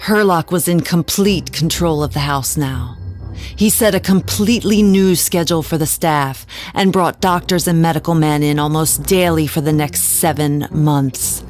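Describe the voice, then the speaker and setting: An actress playing a haunted, genteel wife who tells her story in a measured, dramatic way in an audio drama. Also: deep voice, darkish tone, soft background music, close to an everyday voice. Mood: grave. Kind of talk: scripted audio drama narration.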